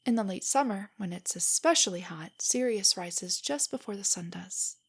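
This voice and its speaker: A young woman playing a nerdy, nervous, tender girlfriend in an intimate stargazing roleplay audio, speaking softly and often near a whisper as she gently rambles. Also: mid-range voice, expressive, moderate pace, husky. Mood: tender, teasing. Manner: rambling, gently explaining, hesitant. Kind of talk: intimate roleplay audio, scripted to sound spontaneous.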